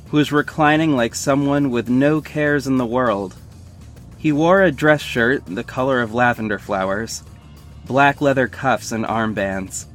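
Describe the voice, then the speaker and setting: A man's voice: medium pitch, fairly bright tone, natural voice. A man playing a wry young superhero who narrates his own mission in scripted first person. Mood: descriptive, wry. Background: music bed.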